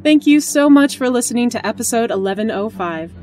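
A woman's voice: fairly high pitch, lively intonation, brisk, a little mellow. A woman podcast host reading scripted credits, with music behind her.

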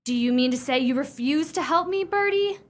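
A woman's voice: sits high, expressive, brisk. A woman playing a prim, imperious young upper-class fiancée in a radio play, speaking sternly with precise, formal diction. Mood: commanding.

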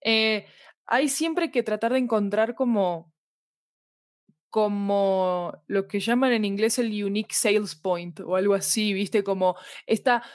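A woman's voice: medium pitch, takes it slowly, no echo, clean studio sound. A woman with a Latin American Spanish accent, talking reflectively and at length as a guest in a casual interview. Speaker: interview guest, audio fiction expert.

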